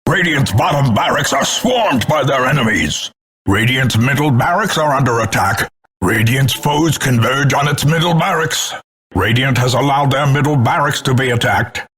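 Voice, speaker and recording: An actor playing a grim, scornful older male announcer in a video game, grandiloquent and portentous, with a gravelly, husky voice. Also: mid-range voice, moderate pace, a bit bright. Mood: urgent warning. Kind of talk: theatrical scripted game announcements.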